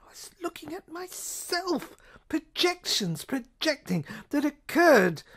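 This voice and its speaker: High-voiced woman narrating an intense audio play. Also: big pitch swings. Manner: halting, intense, repeating phrases. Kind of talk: dramatic first-person narration of a science fiction audio play.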